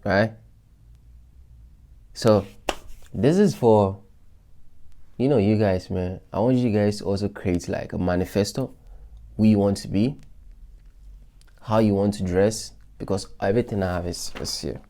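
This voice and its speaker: A man's voice: lowish voice, unhurried, pauses often, warm tone. A man vlogging to his followers in a casual, reflective way, talking through his goals with loose restarts and many fillers. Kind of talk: casual motivational talk to followers.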